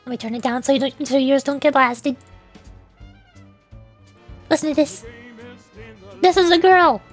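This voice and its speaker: A woman with a small, squeaky, girlish voice, chatting casually and earnestly to viewers on a livestream. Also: steady tone, moderate pace, soft background music. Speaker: streamer and VTuber, voice actor.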